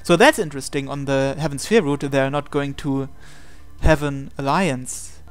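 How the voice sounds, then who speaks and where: A man's voice: mid-range voice, normal speed, clear, resonant tone, soft background music. A man hosting a game video, reading visual novel text aloud and adding his own asides. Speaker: gaming video host.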